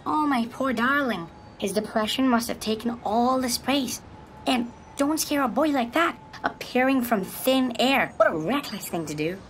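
Woman doing a motherly good side, high voice, tender, soothing, affectionate. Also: animated, moderate pace, slight background noise, natural voice. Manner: soothing, affectionate, calls others darling. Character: gentle motherly female good side, caring.

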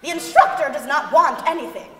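A woman playing a stern, dry-witted professor with a high little voice, delivering deliberate, imperious lines in a staged reading. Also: fairly bright tone. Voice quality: high, small voice. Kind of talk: theatrical staged reading of quotations. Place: large wooden concert hall stage. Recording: echoey concert hall.

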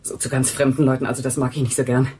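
An actress playing a naive, deferential housekeeper in a German radio crime drama, rambling and correcting herself. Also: female voice, a bass voice, flat delivery, rapid-fire, mellow, husky, full character voice. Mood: chatty, artless. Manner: rambling, simple, self-correcting.

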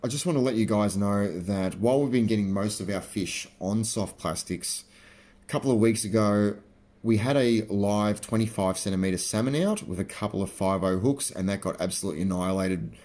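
A man in his thirties with an Australian accent, chatting casually to camera about fishing lures, relaxed with frequent fillers. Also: fairly low pitch, fairly quick. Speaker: fishing vlogger. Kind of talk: casual explanatory talk to camera. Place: indoors by a garage door.

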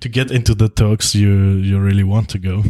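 A man's voice: on the low side, fairly even intonation, brisk, dark tone. Man, German accent, podcast host, casual chat, restarts phrases, small office room. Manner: chatty, frequent restarts and repeated words.

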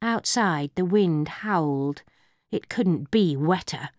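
A woman reading a rhyming children's story in a theatrical, expressive way. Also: fairly low pitch, slow, dry recording, clean studio sound. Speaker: storybook narrator.

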